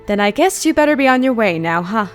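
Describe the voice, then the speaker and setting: A woman's voice: fairly high pitch, expressive, fast talker, natural voice. A woman playing a composed, loving, regal mother pony in a cartoon audio drama, over music. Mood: fond. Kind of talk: animated audio drama acting.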